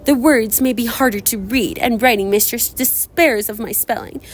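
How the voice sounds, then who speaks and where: A woman's voice: fairly high pitch, moderate pace, a little hiss or hum, lightly acted. Woman doing a vain gossipy young lady. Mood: preening.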